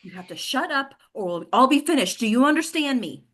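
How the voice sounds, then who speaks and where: Woman acting an exasperated, forceful-voiced female staffer. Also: sits high, very animated, a little fast, full character voice. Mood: stern. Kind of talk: script table read.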